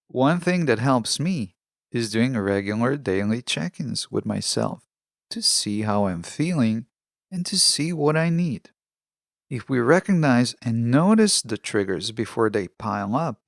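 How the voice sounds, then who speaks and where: A man with a mid-pitched voice and a noticeable gravelly fry delivers a scripted self-help podcast monologue. He speaks as a host, measured and instructional, explaining things step by step. The sound is dry and close to the microphone. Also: no room sound.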